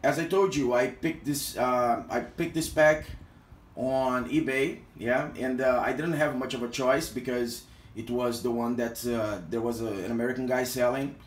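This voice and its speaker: A man's voice: medium pitch, steady tone, normal speed. A Brazilian man with a mid-range voice talks through a gear review, stopping often for fillers and restarts. He sounds hesitant but friendly in a casual way. The small indoor room around him adds a roomy echo. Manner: hesitant, heavy use of fillers. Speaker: product reviewer.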